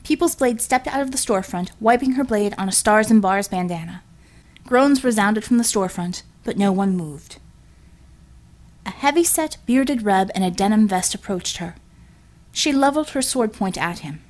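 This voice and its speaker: A woman's voice: medium pitch, lively intonation, moderate pace, darkish tone. A woman reading a scripted action story as a dramatic audiobook narrator.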